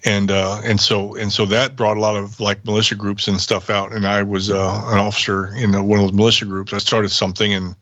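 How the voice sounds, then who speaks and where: Fast-talking, even-toned low-voiced man. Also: no echo, dull audio. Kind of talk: casual chat, recounting experience.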